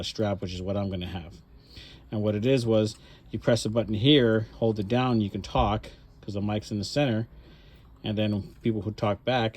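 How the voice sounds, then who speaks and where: A man with a fairly low voice talks casually as a hobbyist showing off handmade work. He explains things step by step in a loose, rambling way, like an informal show-and-tell. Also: a little mellow, a little hiss or hum. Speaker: hobbyist presenter showing a cosplay prop mod.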